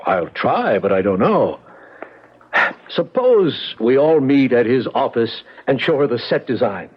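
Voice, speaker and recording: Sceptical choreographer, very expressive pitch. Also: male voice, unhurried, breathy edge. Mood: concerned. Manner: reassuring, matter-of-fact. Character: practical, sceptical, protective male ballet choreographer. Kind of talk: 1970s radio drama acting. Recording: muffled, vintage 1970s radio broadcast.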